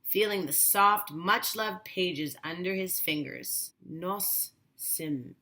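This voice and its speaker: A woman reads a children's storybook aloud as a teacher would. Her voice is bright and a little low. She takes it very slowly, phrase by deliberate phrase.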